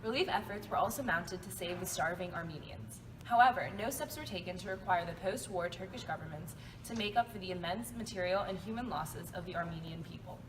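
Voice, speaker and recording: Young female student reporter, slightly low voice, scripted read, distant with noticeable noise. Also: a little fast. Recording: distant mic, clear ambient noise.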